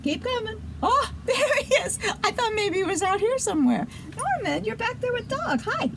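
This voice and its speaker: A woman's voice: very high-pitched, big pitch swings, normal speed, gravelly, noisy background. A woman hosting a children's show and telling a story, with chatty asides to her listeners.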